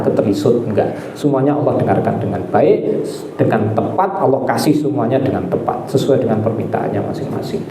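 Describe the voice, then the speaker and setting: A man's voice: medium pitch, mellow. A man giving a conversational religious study talk to an audience. He tells stories, asks his listeners questions and repeats key phrases. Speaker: Islamic preacher. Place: hall with a live audience. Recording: echoey room with an audience.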